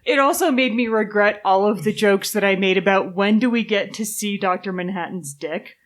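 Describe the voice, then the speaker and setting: A woman's voice: mid-range voice, normal speed. A woman chatting casually on a podcast in a wry way. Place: in-person table recording.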